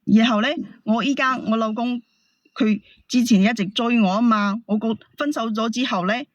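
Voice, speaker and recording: A woman's voice: fairly high pitch, normal speed, dry recording. A woman with a Hong Kong Cantonese accent explaining herself as a caller, defensive and protesting. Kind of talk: heated personal explanation.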